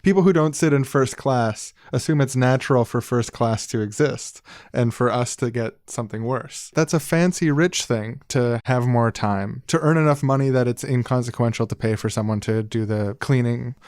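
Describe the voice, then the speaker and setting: A man's voice: mid-range voice, moderate pace, hardly any pauses, a little mellow, no echo, studio quality. A man co-hosting a podcast, explaining ideas in an articulate but casual way with informal asides. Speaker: podcast co-host. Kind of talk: casual discussion.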